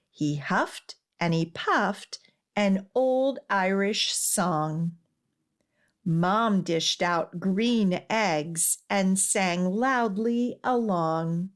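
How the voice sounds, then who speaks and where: A woman hosting a children's storytime and reading a picture book aloud in an expressive, deliberate way. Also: mid-range voice. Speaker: children's librarian and storytime host.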